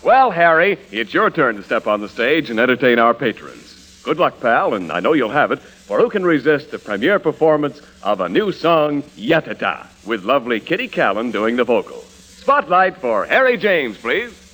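A man's voice: medium pitch, big pitch swings, moderate pace, rough recording. A man hosting a 1940s radio comedy variety show, with banter and showy introductions on a hissy old recording.

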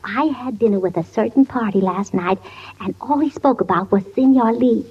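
An actress playing a gossipy confidante in a 1940s radio comedy sketch. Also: female voice, on the high side, normal speed, a little hiss or hum, natural voice. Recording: muffled old radio broadcast.

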